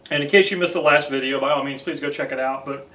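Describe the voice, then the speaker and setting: A man's voice: fairly high pitch, fast talker, a bit bright, distant mic, rough recording. An American man in his thirties giving a casual guitar tutorial with self-deprecating, joking asides. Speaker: guitar instructor. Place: small home music room.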